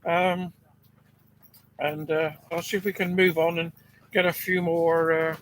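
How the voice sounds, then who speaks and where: A man's voice: unhurried, slight background noise, poor audio. Man on ham radio from a field station. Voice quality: deep voice. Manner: relaxed, hesitant with fillers. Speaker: amateur radio operator. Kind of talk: ham radio contact chat. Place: outdoor field station on a generator.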